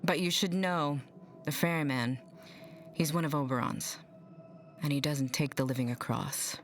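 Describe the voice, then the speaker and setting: An actor playing a grim resistance fighter in a video game, speaking bluntly and in a hushed way. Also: mid-range voice, steady tone, normal speed, soft background music, lightly acted. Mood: terse, grave. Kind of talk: video game dialogue acting.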